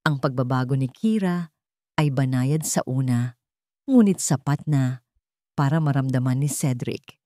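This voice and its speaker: A woman's voice: rumbling low, measured pace, pauses often, mellow, no echo, studio quality. A woman reading a scripted dramatic story as its narrator.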